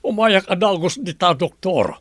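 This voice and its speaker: A man's voice: high voice, lively intonation, normal speed, a bit bright, dry recording, clean studio sound. A man acting as a worried elderly grandfather in an Ilocano radio drama, flustered and urgent. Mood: anxious. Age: older. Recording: radio play with music underneath.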